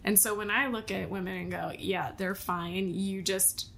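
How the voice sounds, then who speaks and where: A woman preacher with a deep, loud voice, talking candidly in casual conversation and laughing often. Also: steady tone, normal speed, creaky, noisy background.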